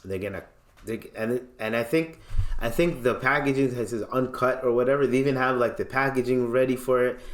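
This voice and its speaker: A man's voice: mid-range voice, brisk. Echoey male podcast host, playful and slangy. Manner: playful, slangy, theatrical when reading aloud, repeats words. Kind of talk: casual podcast commentary with dramatic reading of news. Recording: echoey, roomy sound.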